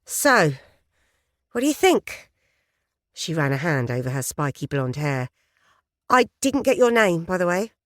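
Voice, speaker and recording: A woman's voice: on the low side, sing-song, a little slow, pauses often, no echo, studio quality. A woman doing a breezy woman journalist, Stella. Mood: chatty. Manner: bright, breezy, exaggeratedly friendly. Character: plucky British woman journalist, bold and chatty.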